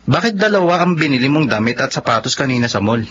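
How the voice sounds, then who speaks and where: A man's voice: mid-range voice, sing-song, fast, fairly bright tone, slight background noise. A man playing an earnest young working man with a Filipino accent in a radio drama, muffled sound. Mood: tender. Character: frustrated young working man, devoted boyfriend.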